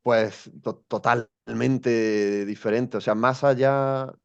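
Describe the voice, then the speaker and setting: A man's voice: mid-range voice, steady tone, slow pace, vocal fry, smooth, highs cut off. A young man from Spain, a guest telling his story in an interview, thoughtful and hesitant with many restarts. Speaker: guest, education coach.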